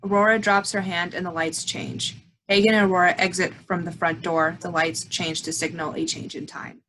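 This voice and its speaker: A woman's voice: fairly low pitch, level tone, moderate pace, a bit bright, rough recording. A woman reading the scripted stage directions of a play, with some background noise.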